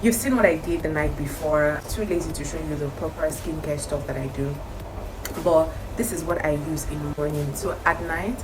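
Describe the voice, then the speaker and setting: Croaky-voiced woman vlogging about skincare. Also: deep voice, moderate pace, background noise. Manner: chatty, emphatic, repeats advice. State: croaky morning voice. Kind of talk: casual talk to camera.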